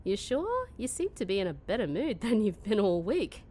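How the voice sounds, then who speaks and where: A woman's voice: medium pitch, sing-song, moderate pace, warm tone. A woman playing a grumpy, sardonic, hungover young woman in a comedic fantasy audio drama, sarcastic and dry. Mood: snarky. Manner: sarcastic, mock-sweet then dry.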